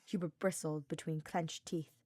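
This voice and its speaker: A woman's voice: deep voice, steady tone, normal speed, a little mellow, no echo, studio quality. A woman reading a scripted story aloud as its narrator. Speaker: fan fiction podfic narrator.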